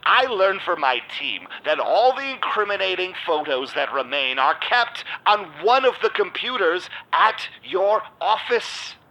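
Suave, high-pitched scheming vampire. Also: male voice, big pitch swings, measured pace, few pauses, sharp tone, full character voice, rough recording. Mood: falsely sympathetic. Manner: suave, theatrical, smug. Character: shady vampire businessman, smooth, scheming club owner. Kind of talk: audio drama narration and dialogue.

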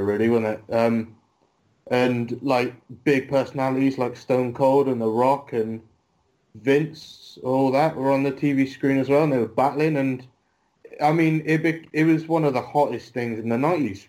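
A man's voice: mid-range voice, normal speed, rough recording. Chatty man in his thirties. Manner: relaxed, chatty, says mate. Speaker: guest wrestler. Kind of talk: casual interview chat.

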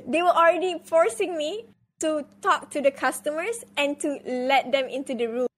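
Young woman, high voice, chatty, cusses casually while telling a story on stream. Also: normal speed. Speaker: guest on a live stream.

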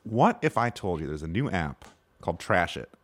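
A man's voice: deep voice, sing-song. A man co-hosting a comedy podcast, riffing through bits and mock ad reads in a joking way. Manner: jokey, fast bursts, bits and fake emails. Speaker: podcast co-host. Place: in-person studio recording.

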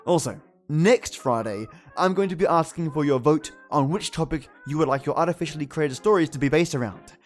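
A man hosting a podcast, giving chatty, matey commentary to his listeners. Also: fairly high pitch. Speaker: podcast host.

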